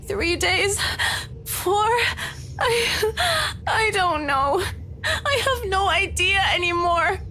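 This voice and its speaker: A performer voicing a frightened, exhausted woman trapped and pleading, shaky and halting, in a video game. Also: very high voice, lively intonation, sharp tone, a little hiss or hum, pitched above the performer's own voice, exaggerated voice. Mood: weak, desperate.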